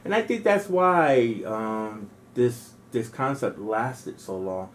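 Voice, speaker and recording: A man's voice: medium pitch, lively intonation, slow, slight background noise. A man co-hosting a casual film chat, asking questions with frequent fillers. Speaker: co-host.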